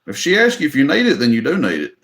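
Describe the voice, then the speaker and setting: A man's voice: a little high, huge pitch swings, fast talker, a bit bright, reverberant. Folksy Tennessee man hosting a livestream. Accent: Southern US. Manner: folksy, joking, drawling, says y'all. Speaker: livestream host running an auction. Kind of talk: casual livestream chat.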